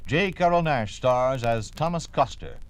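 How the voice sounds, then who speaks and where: A man's voice: mid-range voice, animated, unhurried, clear, resonant tone. A man with an American accent announcing an old-time radio drama in a dramatic, scripted style. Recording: vintage radio recording with loud music.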